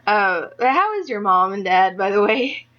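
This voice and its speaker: A woman's voice: mid-range voice, sing-song, measured pace. A young woman doing a casual amateur interview, speaking in a hesitant, giggly way. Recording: dull lo-fi audio.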